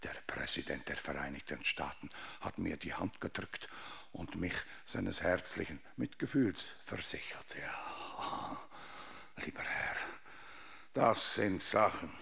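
A deranged old general in a radio drama. The voice is low and gravelly and moves slowly. He pleads and rambles with broken grandeur, demanding justice. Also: sharp tone, in a whisper, heavily acted. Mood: bitter rambling. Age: older. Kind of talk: German radio drama acting.

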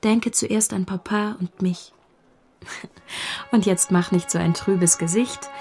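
Gentle, earnest young lady, medium pitch. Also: steady tone, takes it slowly, breathy edge, soft background music. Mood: earnest, pleading. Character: gentle, earnest young woman, caring and composed. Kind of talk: German radio drama acting.

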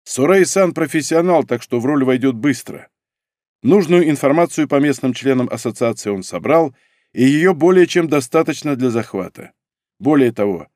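A man's voice: mid-range voice, a little mellow, no echo, clean studio sound, natural voice. A man voicing a loyal male serpent spirit who serves as an earnest, deferential advisor, in a storybook reading.

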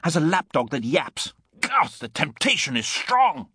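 A man voicing a boyish, impulsive gentleman scientist in an audiobook story, with exclamatory old-fashioned slang. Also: on the high side, big pitch swings, a little slow, slightly rough, no echo, clean studio sound, voice pushed up, exaggerated voice. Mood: mischievous. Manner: exclamatory, urgent Edwardian slang.

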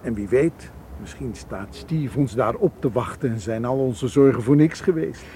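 An actor playing a careful, level-headed Egyptian tour guide in a radio drama, measured and reassuring. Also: male voice, mid-range voice, warm tone, natural voice. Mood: calm, reassuring. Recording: noisy old radio play recording.

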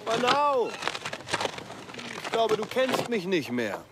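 A man's voice: high-pitched, huge pitch swings, slow, heavy background noise, heavily acted. A man acting in a German radio drama as a talkative, opinionated village man with a teasing, insistent manner. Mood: chatty, familiar.

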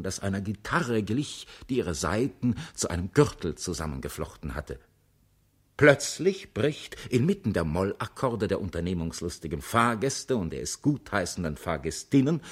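A man reads literary prose for a radio drama in a theatrical, declamatory manner. His voice sits fairly low and has a husky grain. The pitch moves widely and with great animation. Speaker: narrator in a radio play.

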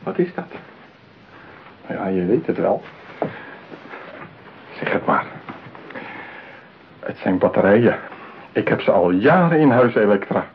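A man's voice: on the low side, big pitch swings, takes it slowly, long pauses, heavily acted. An actor in a radio play playing a lonely, possessive man besotted with his mechanical bride, talking theatrically. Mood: tender, gloating. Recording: tinny narrow-band radio play, clear hiss.

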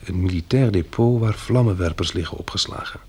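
A man acting in a radio drama as a practical, sober farmer surviving a catastrophe, speaking in a measured, matter-of-fact way. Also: low-pitched, a little mellow, breathy edge. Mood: sober, weary.

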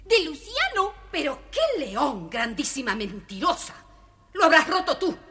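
A bad-tempered, scolding fairy-tale mistress. Her sarcastic voice is high, sharp and swoops wildly. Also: big pitch swings, unhurried, full character voice. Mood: furious, scornful. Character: furious, scolding mistress of a servant girl. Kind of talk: children's audio story acting.

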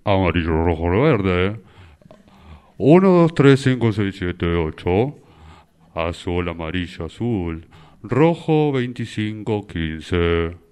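A man's voice: low voice, sing-song, slow pace, a little mellow, smooth. A man doing a radio acting exercise, reciting single words expressively. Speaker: radio drama workshop student.

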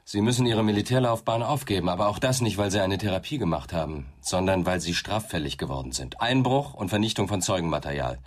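Ein Schauspieler spielt in einem deutschen Krimihörspiel einen ruhigen, direkten Polizeiinspektor, der knapp und nüchtern nachfragt. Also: male voice, deep voice, lively intonation, fast, few pauses. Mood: matter-of-fact. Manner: sober, terse, probing. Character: calm, blunt male police inspector questioning people. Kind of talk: German radio crime drama acting.

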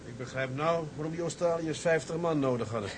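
An actor playing a practical, determined ship's officer in a Dutch radio play, brisk and matter-of-fact. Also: male voice, fairly high pitch, close to an everyday voice. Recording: noisy ambience behind the voice.

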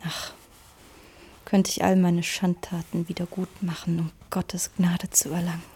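An actress playing a dying, remorseful, God-fearing woman in a radio drama, weak and solemn, her breathy voice often falling to a whisper. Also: on the low side, little pitch movement, unhurried, dark tone, full character voice. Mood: remorseful, fearful.

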